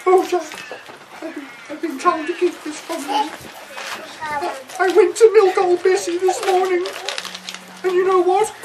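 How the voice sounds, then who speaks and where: Performer doing a high, melodramatic puppet mother. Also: little pitch movement, measured pace, pauses often. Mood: wailing, fretful. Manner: exclamatory, melodramatic. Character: dramatic, fretful mother of a little boy. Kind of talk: live children's puppet show. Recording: outdoor far camera, noisy background.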